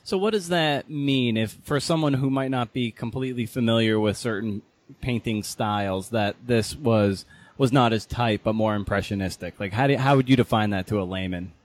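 A man's voice: medium pitch, normal speed. A man hosting a podcast, asking casual interview questions in a rambling, searching way.

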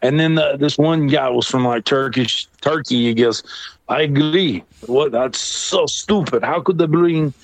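A middle-aged man telling a story in a casual, comedic way. He is animated, acts out other people's lines and laughs often. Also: medium pitch, measured pace, dry recording, low-quality recording. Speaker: storyteller guest.

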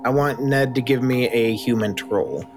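A man's voice: mid-range voice, moderate pace, over music. A man running a tabletop game as game master, laid-back, calling for dice rolls and narrating.

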